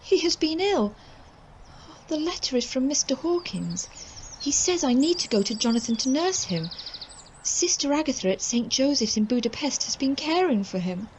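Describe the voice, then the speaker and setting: A woman playing a devoted, anxious young Victorian lady in a radio drama, speaking with gentle, earnest propriety. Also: sits high, animated, moderate pace. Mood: anxious tenderness. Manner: tender, earnest. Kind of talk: radio drama acting and narration. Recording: dull lo-fi audio, faint noise.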